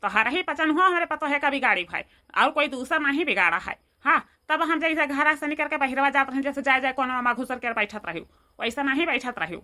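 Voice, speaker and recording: A woman's voice: high-pitched, expressive, a little fast, pushed and bright. A woman voicing a cantankerous, bossy old village mother-in-law with an Awadhi accent in a comedy cartoon, nagging and scolding indignantly. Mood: threatening nagging. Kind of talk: Hindi dialect comedy cartoon dialogue.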